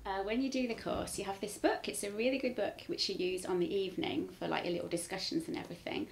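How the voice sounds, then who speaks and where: A woman's voice: medium pitch, quick pace, gravelly, slight background noise. A woman in her forties chatting animatedly on a living room sofa. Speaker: married couple sharing a testimonial. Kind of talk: casual testimonial.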